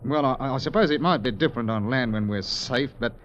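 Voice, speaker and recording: An actor playing a reserved, decent rancher stranded at sea in 1950s radio drama style, with a halting, understated delivery. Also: male voice, mid-range voice, lightly acted. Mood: awkward, guarded. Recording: dull, noisy vintage radio recording.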